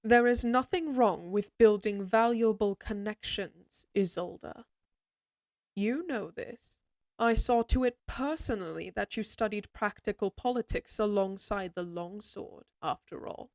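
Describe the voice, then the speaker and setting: A woman's voice: mid-range voice, a little slow, darkish tone, no room sound, lightly acted. A woman acts out an imperious middle-aged lady of a fantasy castle. She speaks formally and with clipped command, in a very dry, close recording. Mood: coolly insistent. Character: stern, imperious noble mother of a lady knight. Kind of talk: scripted fantasy audio roleplay acting.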